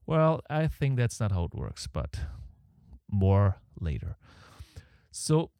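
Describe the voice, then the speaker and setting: German man with a low, mellow voice. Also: big pitch swings, takes it slowly, vocal fry, no room sound, clean studio sound. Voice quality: audible breathing. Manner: rambling, opinionated, self-deprecating. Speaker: podcast host. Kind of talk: casual solo podcast monologue. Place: home setup in Canada. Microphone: close podcast microphone.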